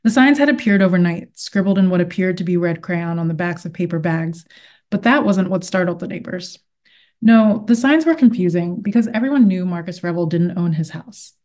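A woman novelist with a soft-edged, very mellow voice that sits on the low side. She speaks as a guest author, presenting and reading her own fiction. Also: lively intonation, fairly quick. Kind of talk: interview talk and read-aloud of literary fiction.

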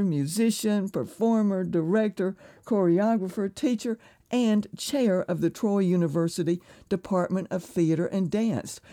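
A woman interviewing guests on a public radio program, friendly and measured. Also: medium pitch, warm tone.

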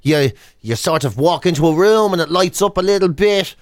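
An Irish man chats as a radio host, with a fairly high voice for a man. He jokes and teases in loose, casual banter. The sound is dry and close, with hardly any room to it. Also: moderate pace, no echo. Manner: joking, teasing.

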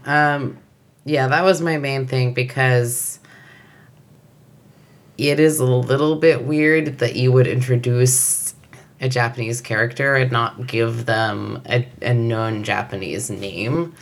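A podcast co-host in casual chat, asking questions and praising details with eager, halting restarts. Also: mid-range voice, steady tone. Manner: questioning, enthusiastic, hesitant restarts.

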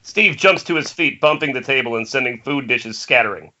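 A man's voice: mid-range voice, lively intonation, fairly quick. A man narrating the stage directions of a screenplay in an amateur table read. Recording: dull, noisy internet call audio.

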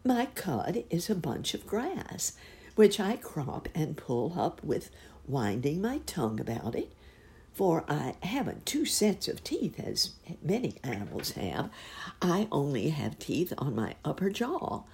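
A woman voicing a worried, mournful cow in a storybook reading, with a high, anxious, sing-song delivery. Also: very animated, a little slow, creaky, a little mellow, breathy edge, exaggerated voice. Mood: explaining. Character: mournful fretful female cow.

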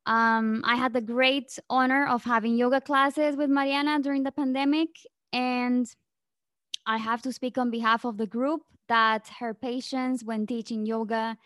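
A woman hosting a podcast as a health coach, giving a gentle, welcoming introduction and then greeting her guest. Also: a little high, dry recording, studio quality.

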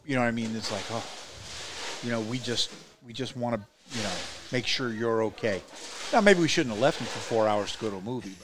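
A man's voice: mid-range voice, brisk, crowd noise. A man from Indiana, a podcast co-host, telling a story in a rambling way full of "you know what I mean" asides. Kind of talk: casual chat, storytelling.

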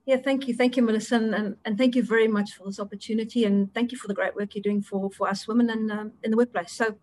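A woman's voice: a little high, fairly even intonation, fast talker, darkish tone. A middle-aged woman from South Africa with a South African accent, an Afrikaans native speaker, answering interview questions with many ums and restarts. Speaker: interview guest, audit partner.

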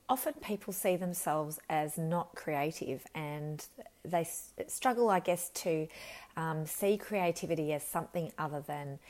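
A woman with a slightly croaky voice, talking earnestly and reflectively as a podcast host and coach, with frequent ums and self-corrections. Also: on the low side, darkish tone. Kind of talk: unscripted solo podcast talk.

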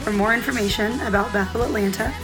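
A woman reading a scripted intro announcement for a sermon podcast in a bright, clear read-out voice. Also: mid-range voice, fast. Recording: announcement over loud music.

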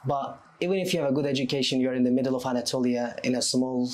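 A man's voice: mid-range voice, racing. A man in his twenties from Turkey with a Turkish accent, speaking English as a podcast guest in a conversational way. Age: 20s. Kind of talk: conversational interview answers.

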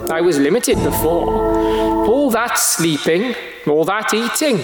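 A man's voice: sits high, expressive, slow. A man voicing the AI copy of a dead mad scientist, gleefully eccentric, theatrical and chatty, in a sci-fi audio drama. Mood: smug, rambling. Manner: theatrical, breezy, chatty villainy. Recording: cavernous echo, loud music behind.

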